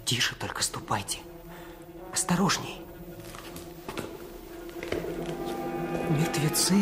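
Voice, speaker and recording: An actor playing a bold, bossy boy who leads his friends, in radio play style with urgent, hushed delivery. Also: medium pitch, lightly acted. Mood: urgent, commanding.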